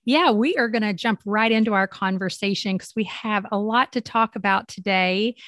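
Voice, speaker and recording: A woman's voice: medium pitch, moderate pace, fairly bright tone, dry recording, clean studio sound. A woman hosting a faith podcast, reading her intro and then interviewing her guest in a conversational way. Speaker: podcast host.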